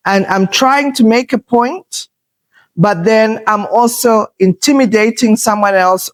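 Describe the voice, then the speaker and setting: Slow, assertive woman with a loud voice. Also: mid-range voice. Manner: assertive, unhurried, thoughtful with filler pauses. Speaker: podcast host. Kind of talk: reflective conversational talk.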